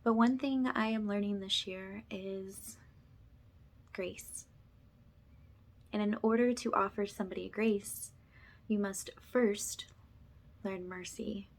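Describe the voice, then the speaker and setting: A woman speaking softly and gently as she leads an informal spiritual ASMR ritual, often slipping toward a whisper. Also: mid-range voice. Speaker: host, spiritual energy healer leading a session.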